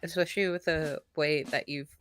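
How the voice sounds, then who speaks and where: A woman's voice: low-pitched, fairly even intonation, normal speed, fairly bright tone, slight background noise. A woman who is a guest on a casual stream, chatty and encouraging, with lots of "like" fillers. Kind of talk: casual conversation.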